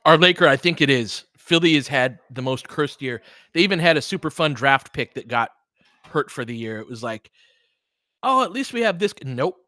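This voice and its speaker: A man's voice: mid-range voice, lively intonation, moderate pace, pushed and bright, dry recording, studio quality. An American man doing casual, conversational live basketball commentary while chatting with viewers. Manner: conversational, reacting to chat comments. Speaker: play-by-play streamer and analyst.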